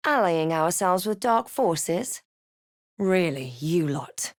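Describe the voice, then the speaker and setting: A woman playing a wry, guarded adventurer hero in a fantasy game, speaking and narrating in a measured, understated, dryly sardonic way. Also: on the low side, lively intonation, no echo, studio quality. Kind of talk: scripted game voice lines, part first-person narration.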